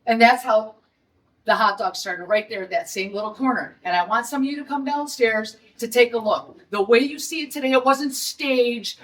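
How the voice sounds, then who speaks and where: A woman's voice: fairly high pitch, moderate pace, a bit bright, far from the mic, rough recording. A middle-aged woman from Detroit with a Detroit accent, a restaurant owner holding a blunt, indignant press conference.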